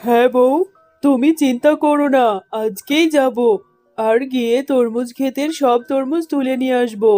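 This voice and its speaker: An actor voicing a calm, reassuring father fox, a village farmer in a children's cartoon. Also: high-pitched, normal speed, faint music behind, close to an everyday voice.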